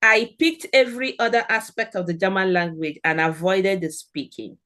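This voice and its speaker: A woman's voice: mid-range voice, moderate pace, a bit bright. A middle-aged woman with a Nigerian accent, a guest on a podcast, giving earnest encouraging advice in short phrases. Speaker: guest, German language expert. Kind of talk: podcast interview.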